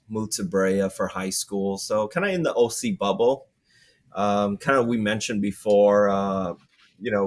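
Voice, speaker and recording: Interview guest: man in his forties from Vietnam, lowish voice, easygoing and rambling. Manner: relaxed, rambling with many fillers and restarts. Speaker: guest entrepreneur. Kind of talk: casual interview conversation.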